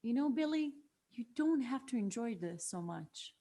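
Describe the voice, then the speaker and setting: A woman plays a level-headed young peacemaker at a table read. Her voice sits fairly high, and she speaks in a mild, slightly hesitant, conversational way. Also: expressive, moderate pace, warm tone. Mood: calming. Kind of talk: table read acting of a screenplay.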